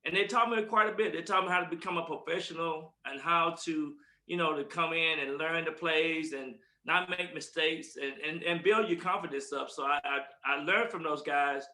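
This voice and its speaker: Older man with a bright voice and relaxed, stop-start answers, recorded in an echoey room. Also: fairly high pitch. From East Texas, USA. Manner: relaxed and halting, with repeated words and fillers. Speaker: guest, former football player. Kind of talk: interview answers.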